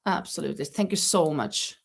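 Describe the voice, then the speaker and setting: A woman's voice: low voice, expressive, fairly quick, creaky, slightly rough. A middle-aged woman hosting a casual live crochet chat, laughing often and reading viewer comments aloud. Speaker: livestream host. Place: home in Spain.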